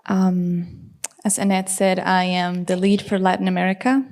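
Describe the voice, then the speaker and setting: A woman's voice: fairly low pitch, flat delivery, moderate pace, a little mellow. A woman from Rica, telling her own story on a panel in an earnest, reflective way. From Costa Rica.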